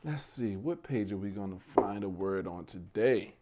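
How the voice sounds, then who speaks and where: A man hosting a bedtime read-aloud for young children, speaking in a hushed, gentle way and talking directly to his little listeners. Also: on the low side, expressive, normal speed, background noise. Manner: addresses young listeners directly with questions and praise.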